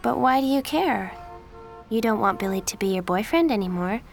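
A woman voicing a frank, earnest teenage girl, a little higher than her narration, in an audiobook reading. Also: lively intonation, moderate pace, vocal fry, faint music behind.

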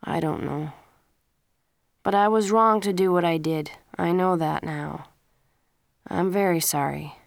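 A woman's voice: lowish voice, a little slow, gravelly, long pauses, no room sound, studio quality. A woman reading the lines of a remorseful teenage boy apologizing to his parents in a storybook.

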